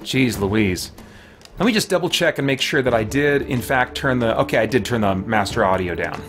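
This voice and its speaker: A middle-aged man hosts a casual gaming livestream from a small room at home, with a mid-pitched voice. He muses aloud as he plays, drops mild folksy exclamations and reads out chat messages. Game music plays clearly behind him. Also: animated, normal speed. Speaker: livestream host playing a video game. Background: video game music.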